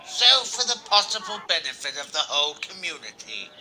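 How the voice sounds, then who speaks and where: A man playing a jovial, wily older country gentleman with a hearty, folksy manner in a radio drama. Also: on the high side, big pitch swings, fairly quick, slight background noise, heavily acted, low-quality recording. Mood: sly, cajoling. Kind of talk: old-time radio drama acting.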